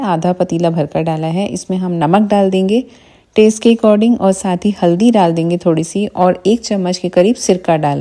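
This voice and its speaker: A middle-aged woman hosting a cooking show from her home kitchen, calmly explaining each step of a recipe and often inviting the viewer to look. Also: lowish voice. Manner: calm, patient explaining with frequent "dekhiye" prompts. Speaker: cooking channel host.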